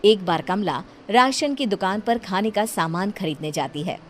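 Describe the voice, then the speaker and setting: Mid-pitched woman narrating a story. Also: lively intonation, normal speed, a little hiss or hum.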